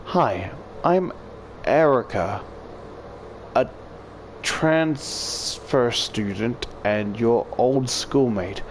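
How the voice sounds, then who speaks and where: A man voicing a shy, earnest female transfer student in a visual novel, reading her letter haltingly and sincerely. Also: mid-range voice, slight background noise. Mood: earnest, confessional.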